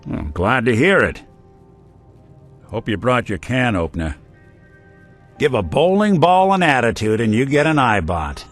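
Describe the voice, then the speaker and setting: An actor voicing a weary, wry, good-hearted middle-aged robot private detective in a video game, with an American accent and deadpan delivery. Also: male voice, medium pitch, unhurried, pauses often, faint music behind. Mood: wry, easygoing. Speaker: companion character in a video game.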